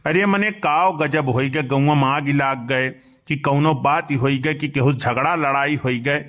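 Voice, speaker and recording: A man voices an excitable village uncle from a comic cartoon. His male voice sits fairly high and carries an Awadhi accent, with the manner of someone easily fooled. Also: unhurried. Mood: alarmed.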